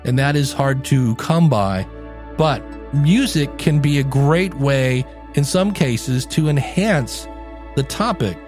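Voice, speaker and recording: A man hosting a podcast in a conversational solo style, speaking emphatically and slowing down for dramatic effect. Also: medium pitch, expressive. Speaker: podcast host. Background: background music.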